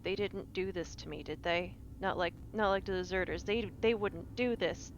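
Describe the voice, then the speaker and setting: A woman voicing a shaken, wounded Marine veteran in a tabletop game, halting and repeating herself in disbelief. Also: fairly low pitch, lo-fi sound, slight background noise, natural voice. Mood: shaken, disbelieving.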